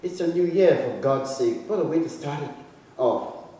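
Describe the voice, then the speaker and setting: A man giving a measured, deliberate reading of literary prose, with dramatic phrasing and pauses, as an author reading a short story. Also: a little high, dark tone. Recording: echoey hall, distant mic, faint noise.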